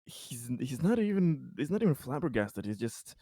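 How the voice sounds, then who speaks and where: A man playing a tabletop game, casually describing his character in a halting, rambling way. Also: mid-range voice, very animated, mellow, breathy edge, no echo, clean studio sound.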